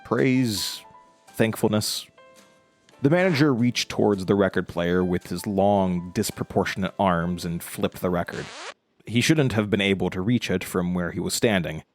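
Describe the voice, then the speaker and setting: Very deep, measured horror narrator. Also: male voice, soft background music. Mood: measured, eerie. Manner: measured, storytelling. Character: grim male narrator recounting his own horror story. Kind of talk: scripted horror audio drama narration.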